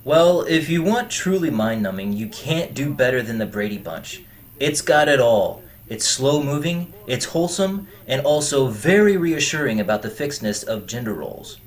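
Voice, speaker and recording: An actor playing an opinionated, drily witty man with a deadpan, sardonic delivery in an audio drama. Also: medium pitch, normal speed, close to an everyday voice.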